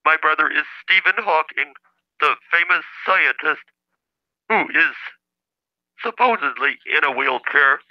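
A man voicing an indignant caller in a comedy phone-in, with a stilted, insistent, synthesizer-like flat delivery over the telephone. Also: fairly high pitch, a little slow, pauses often. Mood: aggrieved. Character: indignant male caller claiming to be a famous scientist's brother, robotic-talking. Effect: telephone call. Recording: dull lo-fi phone line.

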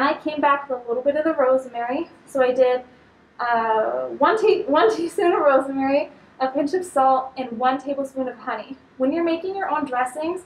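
A young woman giving an upbeat, instructive cooking demonstration in a large kitchen, talking as she works with food. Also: sits high. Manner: upbeat, instructive, talks while handling food. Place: large studio kitchen indoors. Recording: echoey kitchen, mic a bit distant.

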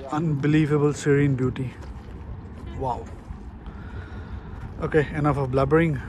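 A man's voice: on the high side, fairly even intonation, unhurried, background noise. A middle-aged man from India, a solo travel vlogger talking chattily and with enthusiasm while outdoors on a hilltop fortress, filming on a handheld camera, with self-deprecating asides. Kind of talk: solo travel vlog narration.

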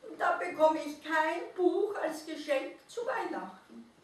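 A woman's voice: sits high, expressive, measured pace, pitched above the performer's own voice. A woman voicing a small, sad male naked mole rat who whines and sobs about being ugly. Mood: self-pitying. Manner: whiny and sobbing. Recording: roomy reverb, distant mic, faint noise.

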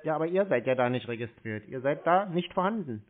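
Male scam-baiting caller, higher-than-average pitch, insistent and confrontational, thin telephone audio. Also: expressive, fairly quick. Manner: insistent, challenging. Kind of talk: confrontational phone conversation. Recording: tinny narrowband phone line.

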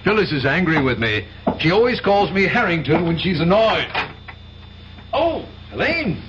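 An actor playing a snobbish, teasing brother in a radio drama, chatty and affected in manner. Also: male voice, sits high, very animated, moderate pace. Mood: breezy, teasing. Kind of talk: 1970s radio drama acting. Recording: dull, noisy 1970s radio recording.

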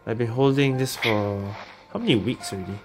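A man's voice: medium pitch, a little fast, soft background music. A man giving casual, musing gameplay commentary as a streamer. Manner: musing, tags sentences with "eh". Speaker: gaming streamer.

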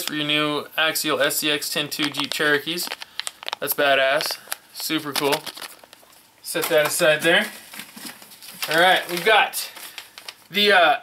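A middle-aged man with a bright, forceful voice talks casually and eagerly while unboxing RC parts. He keeps saying he is super stoked. The sound comes from a camera mic at a workshop bench, with faint package crinkling and clicks behind him. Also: a little high, a little hiss or hum. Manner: enthusiastic, repeats "super stoked". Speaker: RC hobby vlogger. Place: indoor hobby workshop bench.

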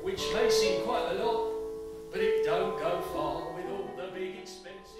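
A man with a high voice performs a comic song in rehearsal. He is distant on a very echoey stage with loud musical accompaniment. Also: unhurried, loud music behind the voice. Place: theatre stage.

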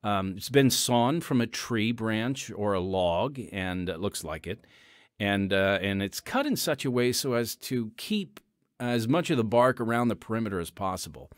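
Male host for ministry radio announcements, animated intonation, dry close studio sound. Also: on the low side, moderate pace, dry recording. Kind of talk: scripted radio ministry announcements, partly ad-libbed.

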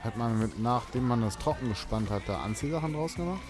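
A man's voice: mid-range voice, lively intonation, brisk, a little mellow, soft background music. Curious man asking short questions. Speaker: podcast co-host. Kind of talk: casual chat among friends.

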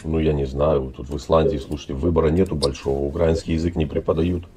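Deep-voiced man on a webcam chat. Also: flat delivery, mellow, a little hiss or hum. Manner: feigned naive, drawn-out questioning. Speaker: video chat participant. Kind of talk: casual video chat. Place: indoor room.

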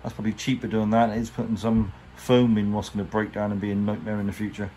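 Low-voiced English man, noisy background. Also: level tone, quick pace, mellow. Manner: colloquial, chatty, plain-spoken. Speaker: boat restorer presenting a how-to. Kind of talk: casual hands-on tutorial talk. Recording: clear background noise, handheld workshop recording.

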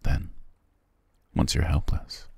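A man playing a sly, alluring male siren in an ASMR roleplay, speaking softly and coaxingly in a husky, half-whispered voice. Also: natural voice. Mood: teasing, sly. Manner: slow, soft, coaxing. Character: alluring male siren posing as grateful castaway, soothing, sly.

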